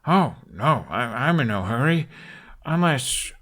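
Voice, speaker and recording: A man's voice: mid-range voice, big pitch swings, slow pace, a bit bright, voice pushed up. A man reads a young male dragon rider in a storybook tale. He is courteous and guarded, choosing mild, deferential words to keep the peace. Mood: feigned bashfulness.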